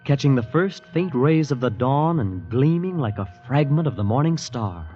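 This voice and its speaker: A man's voice: mid-range voice, big pitch swings, normal speed, natural voice. An actor playing a disillusioned young American man narrating and speaking in a 1940s radio drama. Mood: hushed awe. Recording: muffled 1940s radio recording, music behind.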